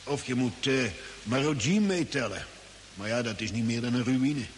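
An actor playing an easygoing old desert hermit in a radio drama, folksy and hesitant in his unhurried talk. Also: medium pitch, lightly acted. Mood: matter-of-fact. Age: older. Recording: hissy old radio recording with long reverb.

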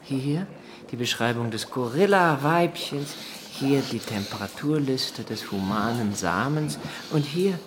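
An actor in a German radio drama playing a sneering rival who talks in a taunting, needling way. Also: male voice, medium pitch, expressive, measured pace, noisy background, natural voice. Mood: gloating. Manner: mocking, needling. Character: Adam, sneering, taunting young male rival.

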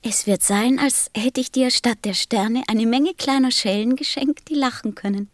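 A woman playing a gentle, wistful small boy from a star in a German radio play, speaking softly, tenderly and solemnly. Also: on the high side, normal speed, no echo, clean studio sound, close to an everyday voice. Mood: tender, consoling. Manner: tender, solemn, simply spoken.

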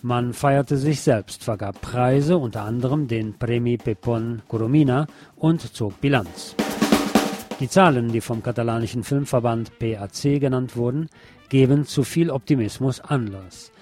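A man's voice: mid-range voice, normal speed. Man reading scripted news over music. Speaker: news presenter.

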